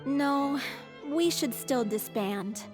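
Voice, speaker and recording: A woman playing a frail, ailing young troupe director in an anime-style video game, gentle and self-deprecating. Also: sits high, expressive, slow pace, music in the background. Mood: gentle resolve.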